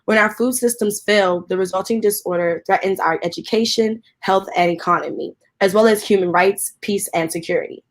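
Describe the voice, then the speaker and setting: A woman's voice: mid-range voice, brisk, few pauses. Young woman moderator, friendly, reads prepared remarks. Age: young adult. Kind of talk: hosting a video-call panel.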